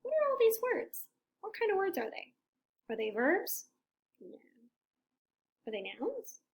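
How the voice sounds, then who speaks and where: High-voiced woman teacher reading to kids. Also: a little slow. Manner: expressive, questioning, coaxing listeners to join in. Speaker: teacher reading aloud to children. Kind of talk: interactive picture-book read-aloud for young children.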